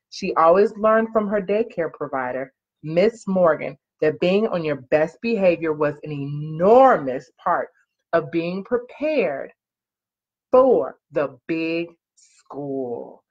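A woman's voice: fairly low pitch, expressive, takes it slowly, a little mellow. A woman reading a children's storybook aloud expressively, drawing out key words and prompting young listeners with questions. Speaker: parent-like storyteller reading a picture book to children. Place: small living room, on a couch. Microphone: webcam or laptop mic at arm's length. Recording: muffled lo-fi webcam audio.

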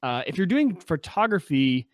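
A young man co-hosting a casual tech podcast, explaining eagerly over a video call on a boom-arm studio mic in a home workshop. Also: sing-song, dry recording. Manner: quick, enthusiastic explaining. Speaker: podcast co-host. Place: home workshop room with pegboard.

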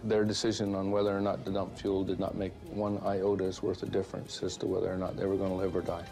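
A man's voice: low-pitched, completely flat delivery, fast. An older man giving an interview as an accident investigator, plain-spoken and folksy. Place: dark studio interview set. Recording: music laid under the interview.

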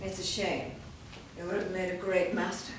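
A woman reading the part of an ancient female vampire master, slow, silky and menacing, in a staged script reading. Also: on the low side, flat delivery, a little hiss or hum, natural voice. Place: large studio room. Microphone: far camera mic. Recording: echoey room from far off.

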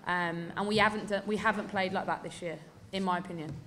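Candid English woman coach, lowish voice. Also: fairly even intonation, fairly quick, fairly bright tone. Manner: candid, emphatic, many fillers. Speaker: football coach. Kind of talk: post-match press conference answers. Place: indoor press room before a sponsor backdrop.

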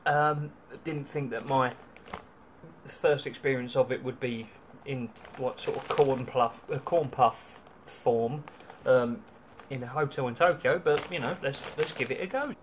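A young man with a British accent chats wryly into a laptop webcam. He talks in a middle pitch and fills his sentences with ums and restarts. A faint hiss of room noise sits under his voice. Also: measured pace. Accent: British English. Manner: chatty and wry, with many ums and restarts. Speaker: travel vlogger. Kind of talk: casual food-tasting vlog. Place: small hotel room, indoors.

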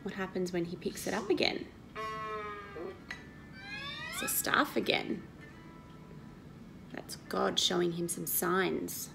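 A woman in her thirties reads a Bible story to children, explaining it and asking questions in an expressive, teacherly way. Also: fairly low pitch, takes it slowly, vocal fry, long pauses, music in the background, poor audio. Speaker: Sunday school story reader. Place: indoor living room at home.

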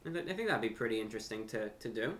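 A young man talking unscripted to camera as a host, with deliberate, slightly formal phrasing, pausing and repeating words. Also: fairly low pitch, animated, a bit bright. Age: young adult. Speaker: YouTube host and amateur radio broadcaster. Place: furnished room at home, indoors.

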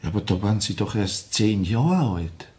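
An actor playing a composed, sceptical police inspector with a Viennese accent, questioning with measured authority in a crime radio drama. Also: male voice, fairly low pitch, lively intonation, darkish tone, lightly acted. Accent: Viennese Austrian.

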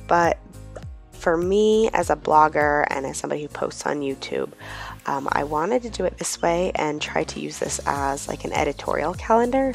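A woman vlogger, hoarse from a cold, chatting casually in a rambling voice-over with many ums. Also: deep voice. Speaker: planner vlogger.

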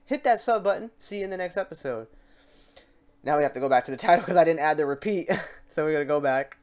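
A man hosting a software tutorial, talking casually and thinking aloud as he works through steps and mistakes. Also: on the high side, animated, fast talker, clean tone. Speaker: tutorial host.

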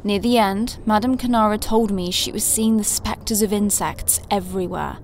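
A measured doctor played by a woman reports precisely in a medium-pitched voice. She stays clinical and calm, with faint noise behind, in an audio drama. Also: close to an everyday voice.

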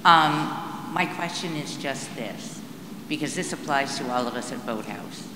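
An audience member asking the speaker a question in a polite, slightly halting way. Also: medium pitch, lively intonation. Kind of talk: question from the floor. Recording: echoey hall with background noise.

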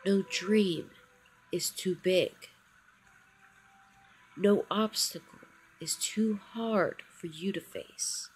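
A woman narrating a scripted guided meditation in a soothing, encouraging manner, with short phrases and long pauses. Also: mid-range voice, slight background noise. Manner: encouraging affirmations. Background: background music.

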